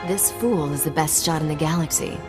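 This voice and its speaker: A woman's voice: low voice, brisk, in a large hall, music in the background, close to an everyday voice. A woman playing a guarded, confident young smuggler's associate in a video game, controlled and matter-of-fact. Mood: defiant.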